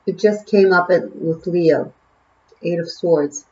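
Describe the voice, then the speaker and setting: A woman's voice: on the low side, slow pace, warm tone, muffled recording. A woman from Israel with an Israeli accent, a native Hebrew speaker, giving a casual tarot reading to the camera, musing and chatty with many fillers. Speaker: tarot reader.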